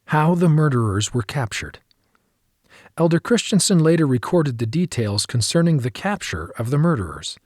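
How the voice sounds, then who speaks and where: A man reading a memoir as scripted audiobook narration, measured and clearly enunciated, recorded close in a studio. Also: medium pitch, darkish tone, dry recording.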